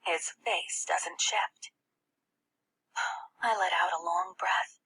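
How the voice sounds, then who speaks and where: A woman voicing an earnest, tender young schoolgirl who narrates her own story in an expressive audiobook reading, with a breathy, husky tone and hushed passages. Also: mid-range voice, drawn-out words, in a whisper, heavily acted, poor audio. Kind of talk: first-person audiobook narration.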